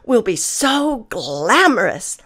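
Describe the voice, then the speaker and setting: A woman voicing a group of vain, jealous female farm hens for a storybook, with high squawking chatter and clucking noises. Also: very animated, takes it slowly, fairly bright tone, husky, full character voice. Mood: giddy.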